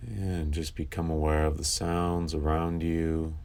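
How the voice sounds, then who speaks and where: A man leading a guided sleep meditation, calm and instructive, with long pauses between phrases. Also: extremely low pitch.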